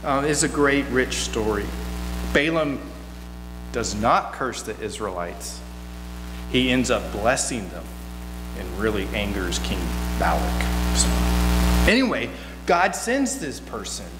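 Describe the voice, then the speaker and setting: A man's voice: medium pitch, slow pace, pauses often, music in the background. A middle-aged man giving an informal, story-driven sermon from a church pulpit in a folksy, conversational manner. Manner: folksy, conversational, animated asides. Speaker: guest preacher. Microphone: gooseneck pulpit microphone. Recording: echoey hall, long reverb, mains hum.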